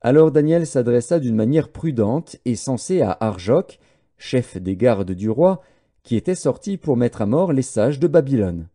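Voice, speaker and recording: Guy narrating bible audiobook, really dark soft tone, animated pitch, measured and formal. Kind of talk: scripted scripture narration.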